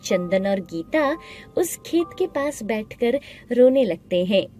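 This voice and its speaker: A woman narrating a scripted animated story, with music playing behind her voice. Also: fairly high pitch, takes it slowly. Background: background music.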